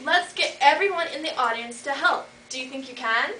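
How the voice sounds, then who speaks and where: A woman's voice: high voice, a little fast, distant mic, natural voice. A teenage girl playing a blunt male dwarf in an amateur school fairytale play. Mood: rallying. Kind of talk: amateur school readers' theater. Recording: echoey hall, loud crowd noise.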